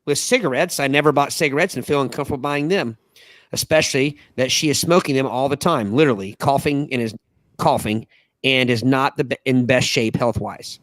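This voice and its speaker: A man's voice: mid-range voice, brisk, a bit bright, no echo. A man hosting a podcast, reading a listener's post aloud with asides. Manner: reads with frequent laughing breaks and asides.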